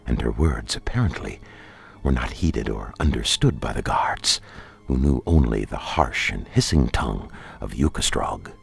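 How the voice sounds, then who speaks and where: Male narrator, dark fantasy audiobook: bottomless pitch, expressive melody, solemn measured delivery. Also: creaky, husky, soft background music. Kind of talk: scripted dramatic narration of dark fantasy fiction.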